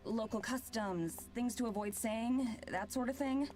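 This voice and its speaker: A woman's voice: on the high side, unhurried, darkish tone, lightly acted. An actress playing a polite, practical young woman in a visual novel, cautious and inquisitive. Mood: polite, concerned. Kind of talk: scripted game dialogue. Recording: game audio under background music.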